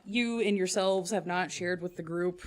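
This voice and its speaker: A woman running a tabletop game with a slightly low voice. She narrates loosely and swears freely, with plenty of filler words. Her delivery sounds relaxed and conversational. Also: normal speed. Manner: casual, profane, lots of filler words. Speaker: game master.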